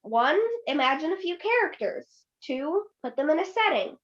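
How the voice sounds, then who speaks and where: A woman as a high-voiced strict mom. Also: very animated, moderate pace, dull audio. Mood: patient, instructing. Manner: firm, instructing. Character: strict, exasperated mother of a fourth grader. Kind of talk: amateur radio play acting.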